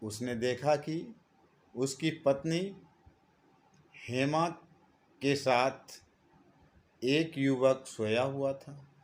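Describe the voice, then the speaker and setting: A man narrating a scripted moral story as a storyteller, deliberately, phrase by phrase with frequent pauses. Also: medium pitch.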